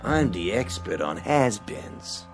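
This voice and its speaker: An actor playing a sleazy, cynical, cigar-smoking boxing manager in a radio drama, needling people in slangy sneers. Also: male voice, on the low side, very animated, slow, soft background music, heavily acted. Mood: smug, taunting.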